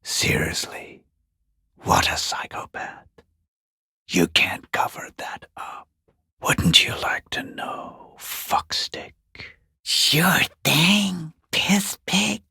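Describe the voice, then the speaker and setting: A man voicing a foul-mouthed, sarcastic watcher in a video game, taunting in a rough, breathy, creaky half-whisper. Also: high voice, huge pitch swings, sharp tone, dry recording, studio quality, exaggerated voice. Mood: bitter sarcasm. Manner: sarcastic, taunting, drawn-out mockery. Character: sneering, foul-mouthed watcher peeking through a harbour gate gap. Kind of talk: video game character voice acting.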